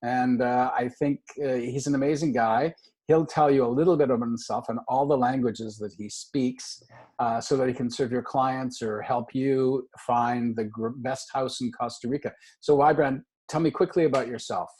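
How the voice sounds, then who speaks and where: A man's voice: medium pitch, steady tone, moderate pace, a little mellow, husky, poor audio. A man from Canada hosting a casual video call interview, upbeat and loose with many fillers. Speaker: host.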